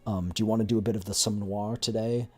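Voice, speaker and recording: Male streamer, low-pitched voice, informal chat with viewers. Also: flat delivery, fast talker, a little mellow, slightly rough. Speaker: livestream host.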